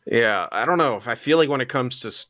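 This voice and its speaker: A man's voice: mid-range voice, lively intonation, a little fast, a bit bright, no echo. A young man co-hosting a podcast, chatting loosely, swearing freely and laughing often. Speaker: podcast co-host. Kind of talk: casual chat.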